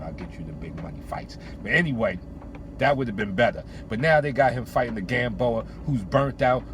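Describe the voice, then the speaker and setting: A man's voice: mid-range voice, background noise. A man talking boxing as a host, casual, opinionated and slangy, repeating phrases for emphasis. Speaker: host giving boxing commentary. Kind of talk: casual opinionated sports talk. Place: in or beside a vehicle.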